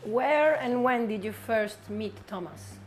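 A woman's voice: fairly high pitch, lively intonation, slow, a little hiss or hum. A woman interviewer asking brief, direct prepared questions.

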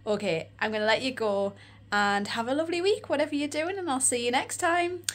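A tired Australian woman in her forties talks straight to the camera, her voice croaky and a touch high. She rambles cheerfully from topic to topic, with plenty of little asides. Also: a little fast. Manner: chatty, rambling, giggly. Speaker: vlogger. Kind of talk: casual shopping vlog chat. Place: room with neon lights, indoors.

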